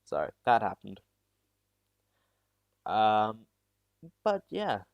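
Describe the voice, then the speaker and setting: A man's voice: lowish voice, sing-song, extremely slow, gravelly, dry recording, lo-fi sound. Teen boy streamer from South Australia. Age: teens. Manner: rambling and self-deprecating, with many restarts. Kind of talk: casual live-stream chat. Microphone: new USB mic.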